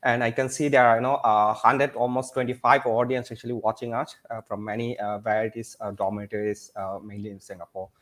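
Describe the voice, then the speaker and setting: A man's voice: medium pitch, level tone, brisk, hardly any pauses. A man hosting an online panel, full of fillers like "uh" and "you know", now and then switching into Bengali. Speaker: host.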